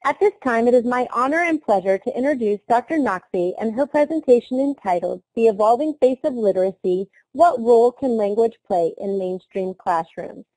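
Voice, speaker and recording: A woman's voice: fairly high pitch, lively intonation, normal speed, hardly any pauses, darkish tone, no room sound, low-quality recording. A woman moderating a webinar, formally and politely introducing the next speaker.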